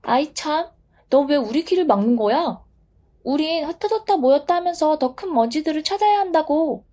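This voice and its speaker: A woman voicing a group of tiny, cheeky specks of dust that chatter and bicker in high squeaky voices. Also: expressive, moderate pace, mellow. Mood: impatient.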